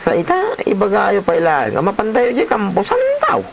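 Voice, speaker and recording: A woman's voice: medium pitch, huge pitch swings, normal speed, gravelly, a little hiss or hum. A woman acting a whiny, grumbling grown child who answers back, in an Ilocano comedy drama full of exclamations.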